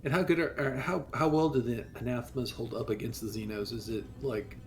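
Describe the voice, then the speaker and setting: A man's voice: medium pitch, fairly even intonation, fairly quick, soft background music. A man playing a tabletop roleplaying game, asking questions with hesitant restarts and speaking in character. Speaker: player.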